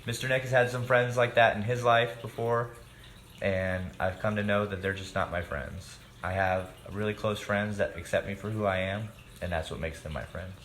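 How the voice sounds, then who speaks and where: A man's voice: fairly low pitch, fairly even intonation, moderate pace, a bit bright. A man reading a children's storybook aloud expressively and speaking directly to his young listeners. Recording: echoey room with background noise.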